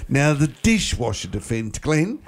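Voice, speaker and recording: A man's voice: medium pitch, sing-song, measured pace. A man from Tasmania co-hosting a morning radio show, trading teasing jokes in casual banter from the studio. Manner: teasing, mock-posh, dry jokes. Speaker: radio co-host.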